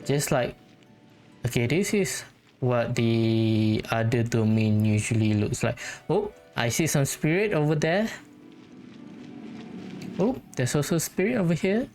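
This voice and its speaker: A video game host giving casual commentary and thinking out loud while playing. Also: medium pitch, lively intonation, unhurried, long pauses, faint music behind.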